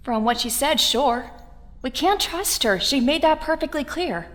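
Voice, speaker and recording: A woman's voice: high voice, animated, a little slow, fairly bright tone. A woman playing a wary, firm young team member in an audio drama. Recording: long hall reverb, music bed.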